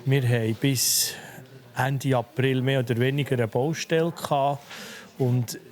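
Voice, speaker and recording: A man in his fifties speaks with a Swiss German accent in a mid-range voice. He answers interview questions in a measured, businesslike way, now and then tangling his word order. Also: medium pitch, steady tone, a little mellow. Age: 50s. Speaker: restaurant leaseholder.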